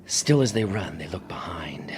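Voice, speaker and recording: Radio drama, very noisy recording: an obsessed, haunted man, deep-voiced, brooding, prone to whispers. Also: animated. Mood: searching, hushed. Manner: brooding, halting, sighing, dropping into whispers. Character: haunted, obsessed man writer fearing the living wind.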